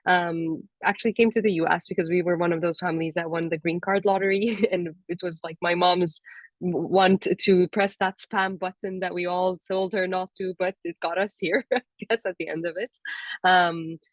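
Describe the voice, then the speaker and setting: Woman from Jordan, chatty panelist, slightly under the weather, lowish voice, close dry sound. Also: steady tone, normal speed, no echo, low-quality recording. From Amman, Jordan. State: sick with a cold-like illness. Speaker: panelist, cancer genetic counselor. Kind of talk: informal career talk on a panel.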